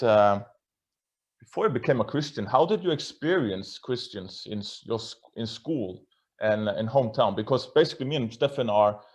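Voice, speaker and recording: A Norwegian man hosts a casual interview over a video call, speaking English as his third language. He talks in a chatty, self-deprecating way and often restarts his sentences. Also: lowish voice. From Norway. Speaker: host.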